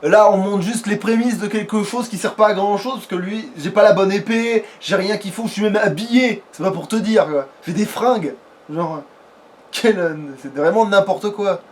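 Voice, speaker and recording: A man with a high-pitched voice commentates a video game casually. He rambles and swears freely in loose slang. The recording is an amateur one with faint noise behind him. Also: normal speed. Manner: rambling, slangy, foul-mouthed. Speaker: let's play host and player.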